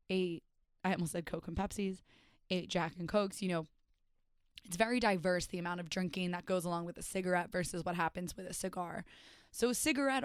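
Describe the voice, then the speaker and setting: A young woman hosting her own podcast and rambling in a self-mocking, chatty way. Her voice is hoarse and raspy after days of partying. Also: fairly low pitch, dry recording, studio quality. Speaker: podcast host and stand-up comedian. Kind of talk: casual solo podcast chat.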